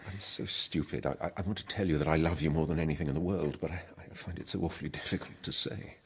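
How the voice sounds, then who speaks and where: Deep-voiced, awkward, halting gentleman. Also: breathy edge, heavily acted. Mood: nervous, earnest. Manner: halting, stammering, stiffly formal. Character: shy, awkward, earnest colonial suitor and dull husband. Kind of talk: period radio drama acting.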